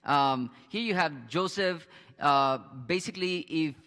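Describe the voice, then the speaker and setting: A man in his thirties hosting a church Bible discussion on a headset mic, halting with restarts and fillers. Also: a little high, sharp tone, big-room sound. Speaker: pastor and host. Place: church studio with a screen behind.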